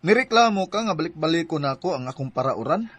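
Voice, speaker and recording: A man's voice: a little high, lively intonation, fast, a bit bright, husky. A man with a Cebuano Filipino accent playing a hurt, fed-up young boyfriend who complains plaintively in a radio drama. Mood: aggrieved. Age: young adult. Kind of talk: Bisaya radio drama acting.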